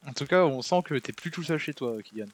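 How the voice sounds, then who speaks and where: A man's voice: fairly high pitch, animated, brisk, no echo. Young man, casual slangy gamer. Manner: slangy, informal. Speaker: gamer playing with friends. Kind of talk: casual chat during co-op gameplay.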